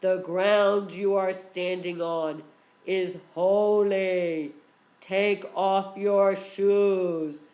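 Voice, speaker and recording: A woman voicing a powerful male divine voice speaking from a burning bush, slow, loud and commanding. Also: medium pitch, steady tone.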